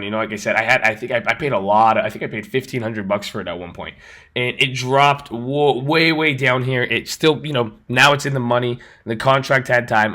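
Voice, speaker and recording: A raspy-voiced man hosts a casual trading walkthrough, recovering from being sick. His tone is bright and forceful despite the rasp. He rambles, restarts and repeats phrases as he explains. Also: mid-range voice. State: recovering from illness. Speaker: trading channel host. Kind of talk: casual screen-share explanation.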